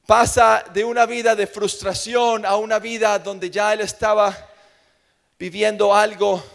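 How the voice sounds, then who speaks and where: A man's voice: sits high, little pitch movement, measured pace, creaky. A man with a Colombian accent preaching an evangelical sermon in an emphatic manner, repeating phrases for effect. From Colombia, grew up in Medellín. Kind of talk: evangelical sermon with personal testimony. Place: large church room with long reverb. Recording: long hall reverb.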